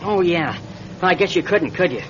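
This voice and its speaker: An actor playing a practical, wry stranded pilot in a radio drama, with a folksy, coaxing and naturalistic manner. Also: male voice, high-pitched, animated, normal speed. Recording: dull, noisy radio drama recording.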